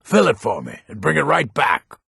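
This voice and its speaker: An actor voicing a grumpy, haughty old mage and noble in a fantasy video game, gruff and impatient, with a raspy, gravelly voice. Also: male voice, mid-range voice, wildly swooping pitch, normal speed, sharp tone, no echo, studio quality, full character voice. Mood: impatient, businesslike. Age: older. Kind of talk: video game character dialogue.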